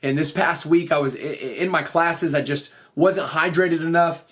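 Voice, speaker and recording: Higher-pitched man, earnest podcast host. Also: normal speed, a bit bright. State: voice slightly off after losing it. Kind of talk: motivational podcast talk.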